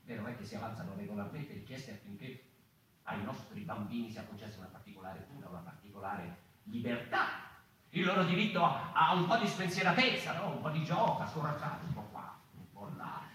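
Echo-drenched, declaiming weary stage monologist. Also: male voice, mid-range voice, pushed and bright. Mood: halting, musing. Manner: declamatory, halting, repeats words and phrases. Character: weary, agitated male member of a mouse people. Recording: very echoey hall, distant, faint noise.

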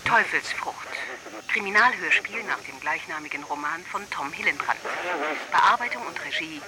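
High-pitched male announcer over loud noise. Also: animated, moderate pace. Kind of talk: radio drama credits announcement.

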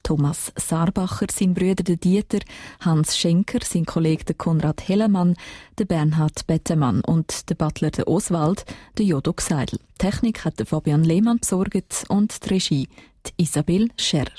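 A woman's voice: fairly low pitch, normal speed, mellow, husky, no echo, clean studio sound. A woman announcer reading out the cast and crew credits of a radio drama.

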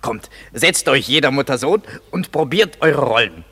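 A man playing a fussy, excitable craftsman who directs an amateur play in a comic German radio drama. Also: mid-range voice, moderate pace. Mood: fussy, pondering. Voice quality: husky.

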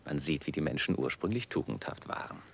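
Tiefer, belegter, untertäniger Höfling. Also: male voice, a bass voice, animated, a little fast, creaky, slightly rough, full character voice. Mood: suave, deferential. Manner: deferential, suave, formal. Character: obsequious, smooth-talking courtier chevalier. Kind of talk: German radio play, theatrical stage diction.

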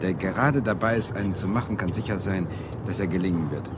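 Actor as halting bookish lecturer, outdoor noise. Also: male voice, deep voice, close to an everyday voice. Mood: earnest, musing. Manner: halting, musing, explanatory. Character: bookish male university lecturer, earnest, hesitant tennis player. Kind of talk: naturalistic radio drama dialogue. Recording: clear outdoor ambient noise.

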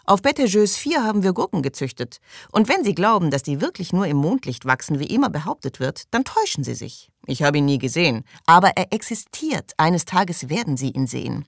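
A woman voicing talkative, opinionated settlers who grumble and hold forth in an animated way in an audiobook. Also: fairly low pitch, brisk, vocal fry, no echo, studio quality, exaggerated voice. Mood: emphatic. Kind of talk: audiobook character dialogue.

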